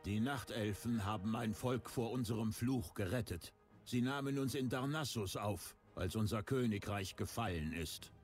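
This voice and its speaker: A man's voice: lowish voice, moderate pace, soft background music, lightly acted. An actor playing a proud older king in a fantasy video game, speaking in a grave, resolute, formal way. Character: proud older king of a fallen, cursed kingdom.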